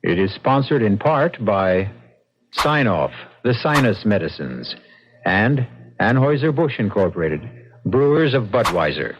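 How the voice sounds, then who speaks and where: Theatrical low-voiced male radio mystery host. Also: very animated, a little hiss or hum. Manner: theatrical, deliberate, suspenseful. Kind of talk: dramatic scripted narration, old-time radio. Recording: dull vintage radio broadcast. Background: loud dramatic music.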